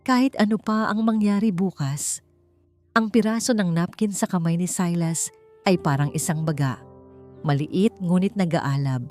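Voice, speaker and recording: A woman narrating a scripted story in a dramatic, suspenseful style, with deliberate short emphatic phrases. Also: fairly low pitch, a little mellow. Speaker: audiobook narrator. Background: background music.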